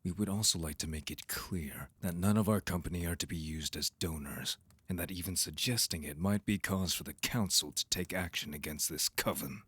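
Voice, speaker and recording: A man plays a cold envoy from a vampire council in an audio roleplay. His voice is very deep and husky, recorded bone dry. He is formal, curt and openly disdainful. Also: normal speed, hardly any pauses, a bit bright, no room sound. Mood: cold, demanding. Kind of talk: audio roleplay drama acting.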